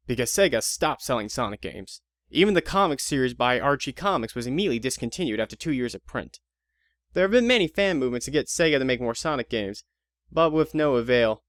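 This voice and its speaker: A man's voice: medium pitch, no echo, clean studio sound. A man narrating a scripted audio drama in an informal, wry, conversational way.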